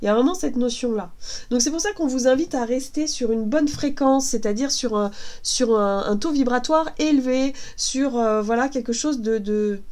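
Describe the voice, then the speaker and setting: A woman with a congested head cold gives a casual tarot reading, gentle and reassuring, hesitating often with fillers and restarts. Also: a little high, moderate pace. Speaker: tarot card reader.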